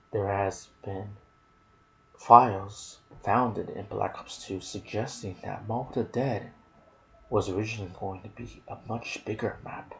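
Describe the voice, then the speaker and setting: A man with a fairly low voice narrates video game lore in an ASMR style. His delivery is very slow and soft-spoken, slipping into whispers. Noticeable ambient noise sits behind his voice. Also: gravelly, rough recording. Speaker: video host and gaming content creator.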